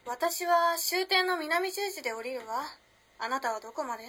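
Woman acting poised, high-voiced enigmatic young lady. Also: animated, normal speed, sharp tone. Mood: playfully mysterious. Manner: cool, feminine old-fashioned politeness, playful. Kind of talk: Japanese radio drama acting.

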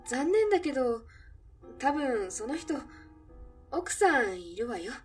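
A woman plays a friendly, gently teasing café barmaid in a radio drama. Her voice is high and animated, with feminine phrasing. It is recorded slightly away from the microphone. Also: takes it slowly, far from the mic, faint music behind, exaggerated voice. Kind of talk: Japanese radio drama acting.

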